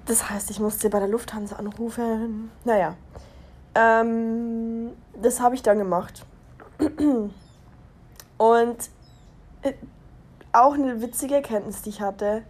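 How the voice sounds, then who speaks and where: A woman's voice: fairly high pitch, fairly even intonation, slow pace, long pauses, a little mellow. A young adult woman from Germany talking casually as a podcast host, chatty and giggly, rambling and interrupting herself as she goes. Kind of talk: casual personal podcast monologue.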